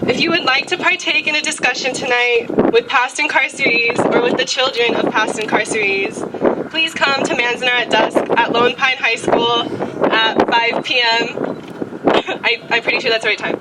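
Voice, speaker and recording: A woman's voice: a little high, normal speed. A young woman giving a prepared public speech as a student speaker on a windy outdoor stage. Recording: outdoor mic, very loud wind noise.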